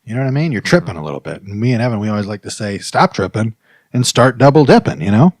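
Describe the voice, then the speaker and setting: A man's voice: lowish voice, big pitch swings, fast talker. A man hosting a comedy podcast, riffing casually in a mock-earnest, rambling way with frequent check-in phrases. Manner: mock-earnest, rambling, peppers talk with "you know what I mean". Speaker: podcast host.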